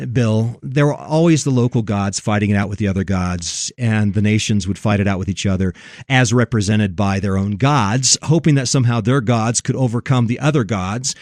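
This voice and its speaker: A man's voice: mid-range voice, darkish tone, dry recording, studio quality. A man giving sermon-like religious commentary and scripture readings, preachy and emphatic, repeating phrases for weight.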